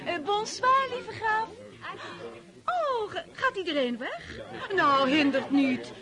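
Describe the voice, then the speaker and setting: An actress in a Dutch radio drama playing a gushing, gossipy high-society lady with a gracious, fluttery manner. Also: animated, takes it slowly, full character voice. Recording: crowd chatter behind, old radio play.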